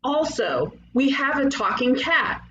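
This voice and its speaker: A woman playing a brash, excitable young twin-sister ghost detective in an animated comedy table read, with an emphatic, theatrical delivery. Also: sits high, a little slow, reverberant, lo-fi sound, full character voice. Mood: grandiose pitching.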